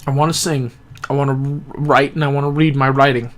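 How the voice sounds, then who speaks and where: A young man talking earnestly and reflectively into his camera in a rambling personal vlog, pausing and restarting as he thinks. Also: mid-range voice, fairly even intonation, fairly bright tone. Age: young adult. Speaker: gaming YouTuber. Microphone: camera-mounted microphone, close.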